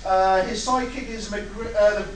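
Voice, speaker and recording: A high-pitched British man hosts a live brainstorm with exasperated, sweary banter. He is recorded far from the mic in a very echoey room, with a crowd chattering around him. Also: steady tone, moderate pace. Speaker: host taking audience suggestions. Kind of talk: informal live audience brainstorm. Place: large echoey indoor event room with audience.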